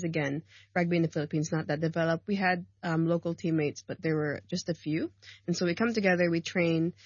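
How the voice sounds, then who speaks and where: A woman in her thirties talks in a slightly low voice as a guest on a radio show, rambling warmly with lots of ums and quick laughs. The studio sound is dry and close, with a touch of dullness in the audio. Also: no room sound, muffled recording. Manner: chatty and warm, laughs often, many ums and likes. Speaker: guest, rugby player and coach. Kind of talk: casual radio interview. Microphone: studio mic.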